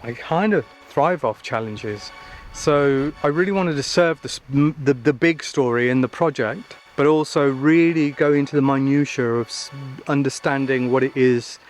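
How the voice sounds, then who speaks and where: A man's voice: medium pitch, moderate pace, faint music behind. A man talking casually in an interview as part of a creative team.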